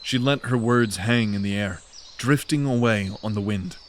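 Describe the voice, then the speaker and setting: A man narrating a fantasy audio drama in a measured, steady storytelling manner. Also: on the low side, slight background noise.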